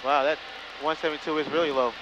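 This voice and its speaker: A man's voice: fairly high pitch, fairly even intonation, fast talker, lo-fi sound. A man flying a small aircraft, speaking casually and making radio calls from the cockpit. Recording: loud cockpit and engine noise.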